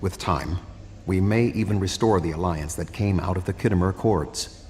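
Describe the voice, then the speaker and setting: An actor playing a grave, logical alien statesman in a video game, speaking in a measured, formal way. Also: sits low, a little mellow, lightly acted. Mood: measured hope. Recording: reverberant game audio, faint background hum.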